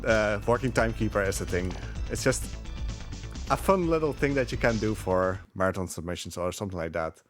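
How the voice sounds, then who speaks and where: A man with a fairly low voice gives casual speedrun commentary. He rambles and halts as he goes, with game music clearly playing behind him. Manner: rambling, halting, chuckling asides. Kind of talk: casual live gameplay commentary.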